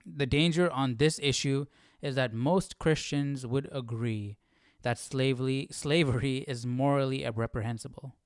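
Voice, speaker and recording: A man with an average-pitched voice hosts a casual study stream. He thinks aloud, musing and questioning as he goes, often puzzled. The sound is dry, with no room echo. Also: a little slow, hardly any pauses, clean tone. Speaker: host of a live Bible study.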